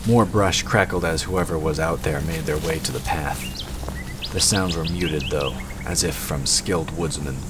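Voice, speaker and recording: A man's voice: very deep voice, moderate pace, noisy background. A man reading scripted narration for a fantasy audio drama. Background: forest ambience sound effects.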